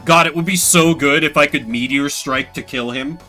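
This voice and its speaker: A man streaming a game playthrough, casually commenting and reading game text aloud in an expressive, slangy way. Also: fairly high pitch, moderate pace, faint music behind.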